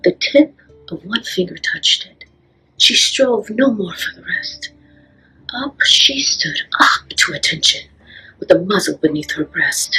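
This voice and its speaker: Very husky, breathy woman reading poetry. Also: lowish voice, lively intonation, measured pace, sharp tone, faint music behind, rough recording. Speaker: poem reader.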